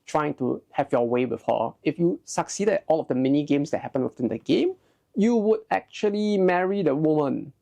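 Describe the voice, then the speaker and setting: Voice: medium pitch, lively intonation, moderate pace, gravelly, mellow. A video essay host narrating in an informal, explanatory way, with conversational asides and hedged remarks.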